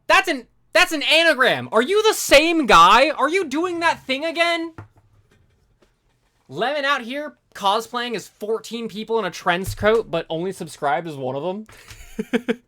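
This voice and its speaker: This is a streamer with a bright voice and a lively, swinging pitch. The delivery mixes reading aloud with casual live commentary, often interjecting informal, sweary asides. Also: takes it slowly, pauses often.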